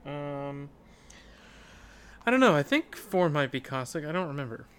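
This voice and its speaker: A man co-hosting a casual gaming podcast, speaking in a halting, hesitant way. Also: on the high side, lively intonation, a bit bright, clear, resonant tone. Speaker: podcast co-host. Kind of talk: casual video game podcast chat.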